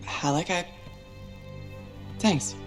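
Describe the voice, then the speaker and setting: A woman's voice: lowish voice, drawn-out words, music in the background. A woman playing a plucky freelance fighter in a video game, talking casually, directly and with wry defiance. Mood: friendly, frank. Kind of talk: video game cutscene acting.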